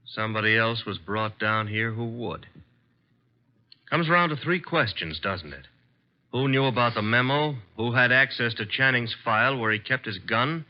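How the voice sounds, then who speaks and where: A brisk, wisecracking detective's assistant played by a man with an American accent. His mid-range voice carries a radio mystery on a slightly muffled vintage recording. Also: mid-range voice, lively intonation, natural voice. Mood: sharp, businesslike. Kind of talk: old-time American radio drama acting.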